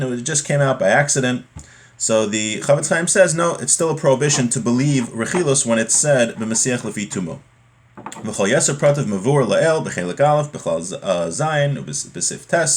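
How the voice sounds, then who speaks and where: A man giving a text-study lesson as a religious teacher, reading passages and explaining them, often repeating and rephrasing his points. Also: mid-range voice.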